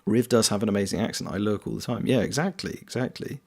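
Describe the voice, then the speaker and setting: A man's voice: lowish voice, fast, gravelly, breathy edge. A British man streaming a game, chatting casually and reading viewers' comments aloud, greeting them by name. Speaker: streamer and voice actor.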